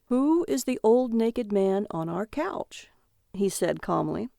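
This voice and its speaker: A woman's voice: mid-range voice, lively intonation, slow, gravelly, warm tone, dry recording, studio quality. A woman voicing an easygoing, childish husband who sulks like a hurt little boy. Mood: calm.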